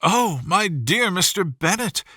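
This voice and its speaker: Man voicing a high, gushing middle-aged mother. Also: big pitch swings, unhurried, bright, forceful tone, no room sound, studio quality, heavily acted. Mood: gushing, excited. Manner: effusive, exclamatory, rattling on.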